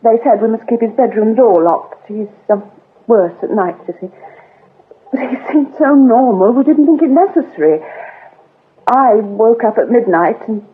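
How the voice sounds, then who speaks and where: An actress playing a guarded, shaken British vicar's daughter in a radio drama, speaking in halting, subdued confession. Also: female voice, a little high, expressive, slight background noise, natural voice. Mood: shaken, confessing. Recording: muffled, phone-like old radio recording.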